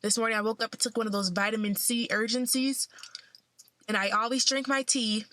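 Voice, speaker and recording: A woman's voice: a little high, steady tone, brisk, sharp tone, slight background noise. A young woman who sounds a bit congested, talking in a casual, upbeat and encouraging way to her vlog followers. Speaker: vlogger.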